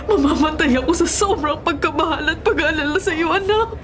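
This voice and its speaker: A woman's voice: high-pitched, fairly quick, close to an everyday voice. An actress playing a worried, loving middle-aged mother in a Filipino radio drama, tearful, pleading and melodramatic. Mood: anguished.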